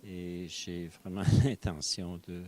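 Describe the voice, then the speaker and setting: A man from Quebec with a Québécois accent, giving a soft-spoken, hesitant personal testimony in a mosque prayer room. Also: low-pitched, little pitch movement, slow. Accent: Québécois French.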